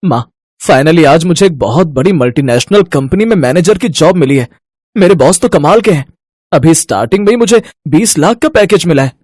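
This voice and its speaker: An actor playing an eager, respectful young manager and dutiful son in a family storybook tale. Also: male voice, fairly high pitch, animated, moderate pace, darkish tone, no room sound, clean studio sound. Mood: happy, affectionate.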